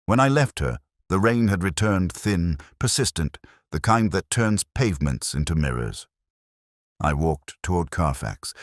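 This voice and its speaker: A man reading a first-person mystery story in a measured, reflective way. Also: deep voice, dry recording, clean studio sound. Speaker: narrator.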